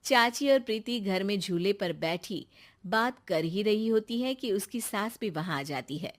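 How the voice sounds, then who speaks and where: A woman's voice: mid-range voice, animated, unhurried. A woman reading scripted narration for a family moral story.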